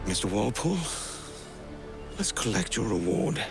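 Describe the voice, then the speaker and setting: A man's voice: fairly low pitch, sing-song, moderate pace, creaky, loud music behind the voice. A man playing a confident, roguish young sailor in a video game, with a breezy, colloquial way of talking. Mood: wry, determined. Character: brash, roguish young privateer sailor posing as another. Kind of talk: dramatic video game acting.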